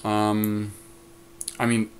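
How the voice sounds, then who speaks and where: Male podcast co-host, slightly low voice, casual strategy talk, deliberates out loud as he weighs options. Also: monotone, a little hiss or hum. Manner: thinking aloud, deliberating. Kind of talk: casual strategy chat during a card game draft.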